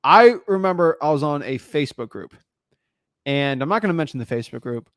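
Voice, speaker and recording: A young man hosting a casual chat, telling stories in an energetic, animated way. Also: fairly high pitch, no room sound, studio quality. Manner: energetic, fast-talking, animated storytelling. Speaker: podcast host.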